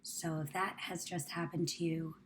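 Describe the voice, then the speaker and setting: A woman leading a guided meditation, speaking soothingly and in measured phrases that trail off into a near-whisper. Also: deep voice, flat delivery, low-quality recording. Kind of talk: guided meditation, spoken to the listener. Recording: clear background ambient noise.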